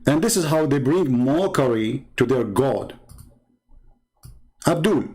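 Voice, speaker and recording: Middle-aged man, Arabic accent, sarcastic online debater, mid-pitched and scornful. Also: a little mellow. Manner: mocking, scornful, sarcastic laughs. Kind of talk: combative commentary while reading comments.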